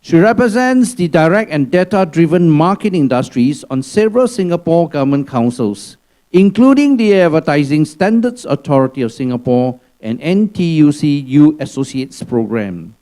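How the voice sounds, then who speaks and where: A man's voice: a little high, lively intonation, moderate pace, mellow. A man serving as a conference emcee, formally introducing the next speaker. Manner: formal, announcing. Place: conference hall.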